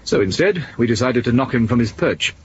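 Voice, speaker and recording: Man playing a smug, sardonic spymaster, very animated pitch. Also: a little fast, highs cut off, slight background noise, lightly acted. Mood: amused, unrepentant. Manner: urbane, drily condescending. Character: smug, sardonic, evasive secret service officer. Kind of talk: radio drama acting.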